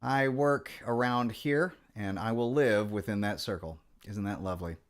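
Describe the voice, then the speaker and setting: Rambling low-voiced guitar vlogger man. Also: moderate pace. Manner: rambling, wry, many fillers and restarts. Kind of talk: casual rambling to camera.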